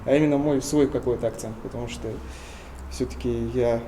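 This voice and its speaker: A young man from Tuva in Russia, answering interview questions earnestly and often pausing with hesitation sounds. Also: medium pitch, steady tone, dark tone, a little hiss or hum. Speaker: interview guest.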